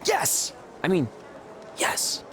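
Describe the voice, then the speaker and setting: A man's voice: extremely slow, fairly bright tone, slight background noise. A man playing a cocky, paranoid, goofy young wrestling champion in a video game, boastful and nervously secretive. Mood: cocky, defensive. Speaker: voice actor in a video game cutscene. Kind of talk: comic video game dialogue acting.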